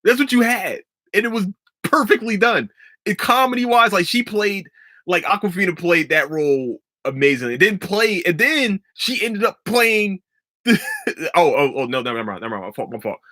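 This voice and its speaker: A man hosting a solo podcast, talking in a rambling, profane and excitable way and laughing often, a little stuffy from allergies. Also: high voice, moderate pace, no echo. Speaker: podcast host. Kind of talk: solo casual podcast talk.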